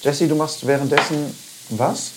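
A woman hosting a casual cooking chat, slightly hoarse today, chatty and self-mocking. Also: deep voice, normal speed. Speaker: podcast host. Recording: noisy kitchen with frying.

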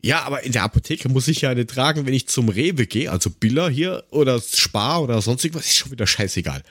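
A man from Lower Austria with an Austrian accent, chatting casually and sarcastically as a podcast co-host, given to ranting and crude jokes. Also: expressive, fast talker, fairly bright tone, slightly rough, no echo. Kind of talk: casual chat.

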